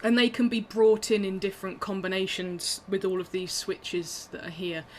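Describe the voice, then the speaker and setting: A woman's voice: mid-range voice, little pitch movement, normal speed, a little hiss or hum. A woman musician talking casually through her instruments on a video call, chatty and self-deprecating with frequent ums and little laughs.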